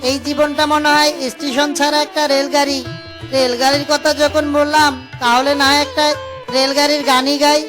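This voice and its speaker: A woman's voice: sits high, flat delivery, moderate pace, lightly acted. A woman voicing a poor, naive, kind-hearted male fox who drives an auto-rickshaw in a children's cartoon, speaking plaintively and earnestly. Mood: grumbling, plaintive. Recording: music behind the voice.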